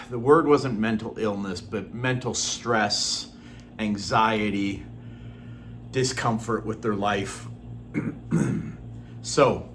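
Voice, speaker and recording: A middle-aged man from Norway, born Norwegian-speaking, talking in an earnest, unhurried way with frequent ums and throat clearing in a personal vlog. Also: lowish voice, a bit bright, slight background noise. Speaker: vlogger. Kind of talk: casual personal vlog talk.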